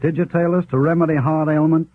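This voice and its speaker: An actor playing a curious, impressed visitor, folksy and hesitant, in scripted 1930s educational radio dialogue. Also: male voice, fairly high pitch, level tone, quick pace, dry recording, close to an everyday voice. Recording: tinny 1930s radio recording.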